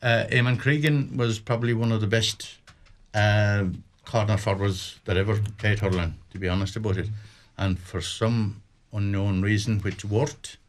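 An older man with a Limerick Irish accent, a radio guest reminiscing about old hurling matches in a halting way with many restarts. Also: fairly low pitch, steady tone. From Limerick, Ireland. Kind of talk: casual radio chat about hurling history.

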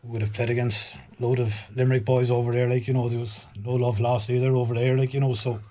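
A man's voice: medium pitch, flat delivery, fast talker, warm tone, slight background noise. A middle-aged man from Galway, a radio guest chatting casually and sprinkling his talk with "like, you know.". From Galway, Ireland. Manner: chatty, filler-heavy, frequent "like, you know".

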